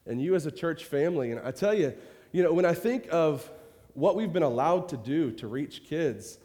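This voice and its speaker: A man's voice: on the high side, expressive, moderate pace. A man speaking as a pastor to his congregation, chatty and rambling with many fillers, fighting a cold and coughing now and then. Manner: warm rambling talk with many fillers and repeated "I tell you". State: has a cold, occasional cough. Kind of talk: informal church address. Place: church sanctuary. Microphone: handheld or stage microphone. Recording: reverberant church hall.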